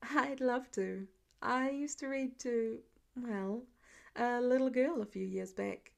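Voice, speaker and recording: A woman playing a gentle teenage orphan boy who speaks softly, warmly and a little hesitantly. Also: on the high side, takes it slowly, vocal fry. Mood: warm, calm. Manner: soft, warm, hesitant.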